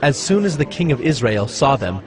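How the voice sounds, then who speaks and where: A man narrating scripture as a scripted story in a solemn, measured manner. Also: mid-range voice, sing-song. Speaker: Bible narrator.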